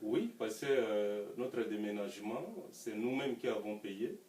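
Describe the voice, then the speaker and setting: A middle-aged man with a francophone African accent, recounting his experience as an interview guest in a measured way, often pausing for words. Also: fairly low pitch, a little mellow. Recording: echoey room, distant mic, faint background noise.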